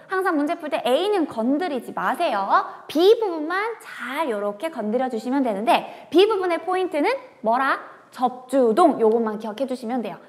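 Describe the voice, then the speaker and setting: A woman's voice: high voice, in a large hall. A woman teaching a grammar lecture, talking straight to her students and moving from casual talk into polite speech.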